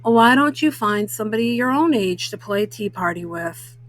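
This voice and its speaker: A woman's voice: medium pitch, animated, normal speed, fairly bright tone, voice pushed down. A storybook big-brother bear cub, a young boy who grumbles and brushes people off, put on by a woman reading aloud. The delivery is dismissive. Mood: grumbling, dismissive.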